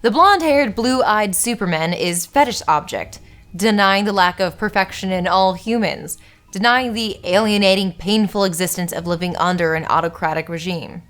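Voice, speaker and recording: A woman's voice: mid-range voice, normal speed, a bit bright. A young woman hosting a scripted film-analysis video essay, narrating with wry, sarcastic asides.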